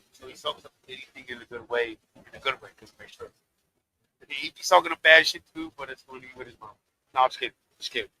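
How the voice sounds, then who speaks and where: A deep-voiced man, a rapper guest, talks loosely and laughs as he goes. His voice carries a gravelly fry with a sharp, forceful edge. He swears freely in easy, casual chat. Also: low-quality recording.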